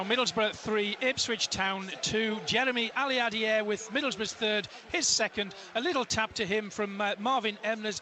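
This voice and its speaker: A man delivers a live football update from a stadium. His voice is high in pitch and sharply bright. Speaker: football reporter. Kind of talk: live match report.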